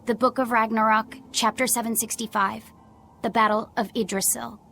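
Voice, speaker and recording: A woman's voice: fairly high pitch, fairly even intonation, moderate pace, sharp tone, faint music behind. A woman voicing an innocent, bookish young boy spirit in a video game, earnest and hesitant. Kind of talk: video game cutscene acting.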